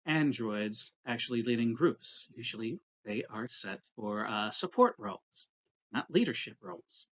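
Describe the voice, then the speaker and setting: A man with a bright, sharp voice in a middle register talks through his characters' moves in a tabletop game. His phrasing is casual and halting, built up piece by piece. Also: mid-range voice, expressive, low-quality recording. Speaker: tabletop roleplaying player. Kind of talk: casual roleplay, narrating his characters' actions.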